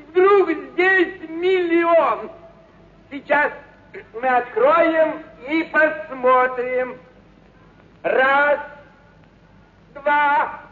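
A very high, slow-paced circus clown, gleeful and boisterous in a radio play. He stretches his exclamations like a showman, in an echoey, noisy recording. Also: phone-quality sound, exaggerated voice. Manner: exclamatory, drawn-out showman patter. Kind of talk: theatrical radio story acting.

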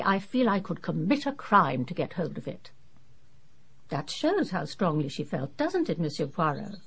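A woman's voice: fairly low pitch, husky, heavily acted, low-quality recording. An actress playing a genteel, anxious middle-aged English lady in a radio drama, talkative and earnest.

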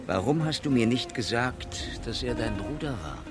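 A man's voice: medium pitch, animated, moderate pace, music in the background, close to an everyday voice. An actor playing a sardonic, menacing private detective in a noir radio drama, with a clipped tough-guy delivery. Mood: confronting.

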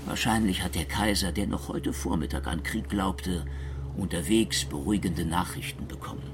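A man playing an imperial court officer who confides in letters home, in a measured radio drama reading. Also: sits low, lightly acted. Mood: confiding. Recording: clearly noisy ambience.

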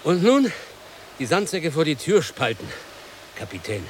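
A man's voice: on the high side, big pitch swings, measured pace, noisy background. An actor playing a dutiful, plainspoken coachman in a radio play, polite and explanatory. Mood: urgent, polite. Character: dutiful coachman, long-serving island servant, plainspoken. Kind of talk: 1990s German radio play acting.

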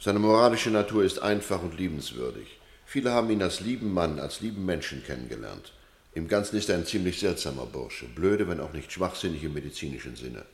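Deep, solemn learned witness. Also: male voice, animated, brisk. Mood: benevolent, solemn. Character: solemn male expert witness praising the accused, benevolent, learned. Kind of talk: satirical radio play acting. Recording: echoey hall with long reverb.

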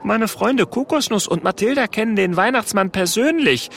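High-voiced cheeky little boy dragon. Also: lively intonation, normal speed, gravelly, a bit bright, a little hiss or hum, exaggerated voice. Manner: pert, childlike delivery.

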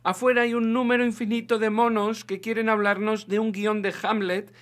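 A man's voice: sits high, little pitch movement, moderate pace, pitched above the performer's own voice, natural voice. A man voicing a bewildered, alarmed ordinary man adrift in space, exclaiming in a comic audiobook. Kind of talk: dramatised comic science-fiction audiobook.

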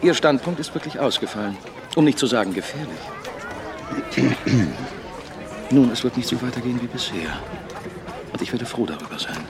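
In a busy crowd, a man plays an idle, rich aristocratic judge. His medium-pitched voice swings animatedly, courtly and lightly ironic, as in a period radio play. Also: expressive, moderate pace, pauses often, natural voice. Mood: ironic, musing. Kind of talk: radio drama acting.

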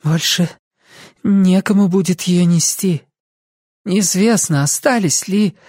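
A woman's voice: on the low side, slow, mellow, dry recording, clean studio sound, voice pushed up. A woman narrator voicing a desperate, weary young woman who pleads insistently with her beloved in an audiobook. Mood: resolute.

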